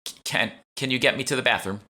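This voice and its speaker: A quick-thinking young heroine of an audiobook, voiced by a man, speaks in urgent, halting bursts. The pitch is lifted above the narration, with a bright, pressing tone. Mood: faking sickness. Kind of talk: audiobook character dialogue.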